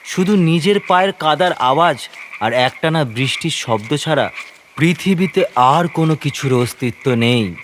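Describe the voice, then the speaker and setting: A male storyteller delivers dramatic horror narration with great suspense. His highish voice rises and falls expressively, with faint rain and noise behind it. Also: animated, moderate pace.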